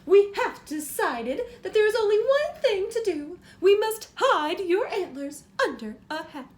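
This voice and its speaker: A woman's voice: very high voice, expressive, a little slow, pitched above the performer's own voice. A woman voicing a fussy, dramatic mother from a children's storybook, with emphatic, declamatory delivery. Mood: dramatic, resolute.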